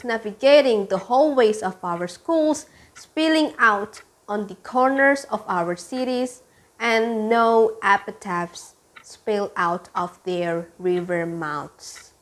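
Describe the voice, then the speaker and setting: A young woman, a student performer, reciting a poem in a declamatory, deliberate way. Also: fairly high pitch. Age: young adult. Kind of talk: dramatic poetry recitation.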